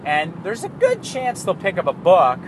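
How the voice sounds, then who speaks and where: Canadian guy, somewhat high voice, loose profane monologue, car and street noise audible behind. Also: huge pitch swings, moderate pace. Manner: rambling, profane, laughs at himself. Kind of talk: casual monologue.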